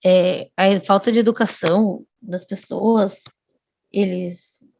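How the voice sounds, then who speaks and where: A woman's voice: mid-range voice, fairly even intonation, takes it slowly, creaky, darkish tone, dull audio. A woman, a guest telling a long personal story in casual chat, often restarting and adding tag questions. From Brazil.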